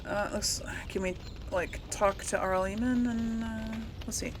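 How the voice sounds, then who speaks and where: A woman's voice: medium pitch, steady tone, a little slow, a little hiss or hum. A woman giving casual, chatty commentary as she plays through a video game. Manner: chatty, informal. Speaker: gamer, let's player.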